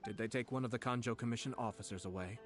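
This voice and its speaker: An actor playing a shrewd, composed young nobleman in an anime game, speaking in a measured, formal and deliberate manner. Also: mid-range voice, close to an everyday voice. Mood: grave. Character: composed, shrewd young noble clan lord, calculating and polite. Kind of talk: anime game voice acting, expository dialogue. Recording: loud game music behind the voice.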